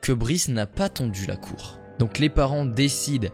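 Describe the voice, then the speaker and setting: A man narrating paranormal stories in a steady, measured storytelling voice. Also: medium pitch, faint music behind. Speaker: YouTuber.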